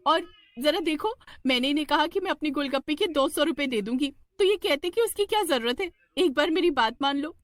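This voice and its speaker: A woman's voice: high-pitched, animated, fairly quick, few pauses, no echo, pitched above the performer's own voice. A woman voicing a scheming, demanding village woman with a dramatic, indignant manner in a cartoon story. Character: scheming, shrill village woman falsely accusing a snack seller.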